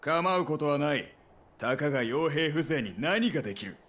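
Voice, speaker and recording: An arrogant, cold villain soldier in a game drama. He sneers his threats deliberately in a somewhat high male voice. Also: expressive, fairly bright tone, slight background noise. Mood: scornful. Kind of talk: Japanese video game villain acting.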